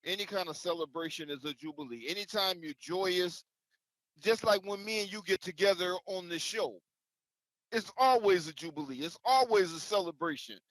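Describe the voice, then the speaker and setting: A man's voice: sits high, normal speed, a bit bright, no room sound, poor audio. A man chatting casually as a show co-host, exuberant and emphatic in his delivery. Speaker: show co-host presenting a word-of-the-day segment. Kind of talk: casual chat.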